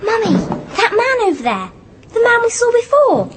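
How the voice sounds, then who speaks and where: Radio drama: young British daughter, high voice, music behind, excitable and inquisitive. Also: female voice, moderate pace, heavy background noise, full character voice. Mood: curious, excited. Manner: excitable, childlike, open. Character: eager, curious young British girl out with mother.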